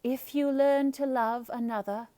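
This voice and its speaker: A woman voicing a solemn enchantress who pronounces her words in a grave, deliberate way, as in a fairy tale. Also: high-pitched, fairly even intonation, mellow, no echo, voice pushed up.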